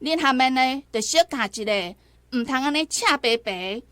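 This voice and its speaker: A woman's voice: high voice, lively intonation, normal speed, a bit bright, dry recording. A woman playing a neighbour who firmly chides squabbling children, in a radio drama with a Taiwanese accent. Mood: chiding. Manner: chiding.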